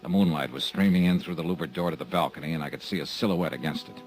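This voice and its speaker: A man's voice: extremely low pitch, fast, soft background music, poor audio. An actor playing a tough, dry-witted male insurance investigator in a 1950s radio drama, narrating terse and hard-boiled. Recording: old radio transcription with faint hiss.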